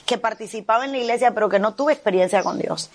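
A woman's voice: a little high, fast talker. A middle-aged woman pastor from Cuba, with a Cuban Spanish accent, telling her testimony with fervent earnestness in a TV interview.

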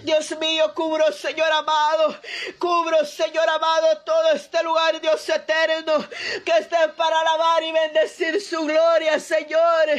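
Woman, very high voice, monotone pitch, impassioned prayer leader, loud pleading, repeated invocations. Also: a little slow.

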